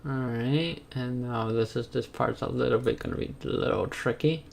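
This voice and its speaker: Gravelly-voiced man, casual streamer. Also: mid-range voice, moderate pace, darkish tone, a little hiss or hum. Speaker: streamer, game developer. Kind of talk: casual live stream chat while drawing.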